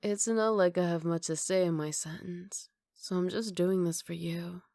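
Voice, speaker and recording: A woman playing a flirty, cocky female villain who teases in an unhurried, smooth-talking way, in an ASMR roleplay. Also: fairly low pitch, fairly even intonation, mellow, dry recording, studio quality, close to an everyday voice. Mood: casual, teasing.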